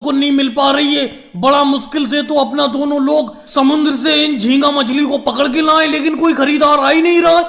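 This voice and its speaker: Man acting squeaky male cartoon politician, very high pitch, gravelly creak, chatty, bickering, comedy skit. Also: steady tone, normal speed, few pauses, a bit bright. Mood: haggling, exasperated. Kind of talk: animated comedy skit dialogue.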